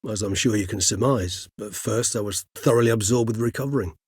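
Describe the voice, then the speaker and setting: A man's voice: lowish voice, darkish tone, slightly rough, no echo, clean studio sound. An actor voicing a cultured older male vampire in a video game, speaking formally and precisely. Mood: composed, reflective. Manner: erudite, formal, measured, wordy phrasing. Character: cultured, mild-mannered older male vampire, learned and courteous. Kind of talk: theatrical video game dialogue.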